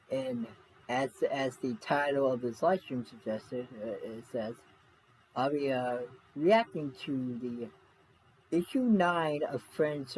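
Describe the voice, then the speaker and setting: Casual male reaction streamer, mid-pitched, halting and repetitive, noisy recording. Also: a little mellow. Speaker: livestream reaction host. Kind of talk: casual livestream chat. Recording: noisy home livestream audio.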